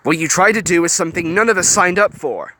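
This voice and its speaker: A man voicing a serious young man who speaks gravely and shouts to break up fights. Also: high voice, quick pace, voice pushed up. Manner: grave, heated when shouting. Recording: steady background noise.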